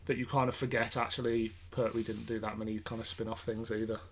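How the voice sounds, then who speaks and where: A man's voice: fairly low pitch, little pitch movement, fast talker, husky. Chatty man co-hosting a podcast. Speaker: podcast host. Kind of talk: casual chat about a TV show and its fandom.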